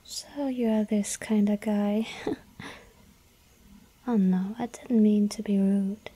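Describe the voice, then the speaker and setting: A woman voicing a lonely yandere vampire girl in an ASMR roleplay, whispering softly and pleading. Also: medium pitch, fairly even intonation, takes it slowly. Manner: breathy soft whisper, pleading.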